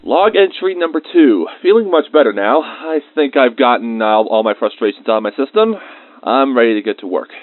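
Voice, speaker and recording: A man's voice: medium pitch, lively intonation, a little fast, no room sound, natural voice. Muffled, dry-sounding man acting as a researcher dictating logs. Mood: eager, curious. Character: bitter, easily irritated male researcher dictating lab log entries. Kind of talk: scripted narration of log entries in a fan audio drama. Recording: muffled, band-limited close dry recording.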